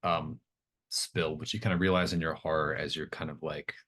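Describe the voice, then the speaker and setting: A man with a notably deep voice runs a tabletop roleplaying game. He narrates conversationally, improvising as he goes and pausing to think aloud. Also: level tone, a bit bright. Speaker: game master.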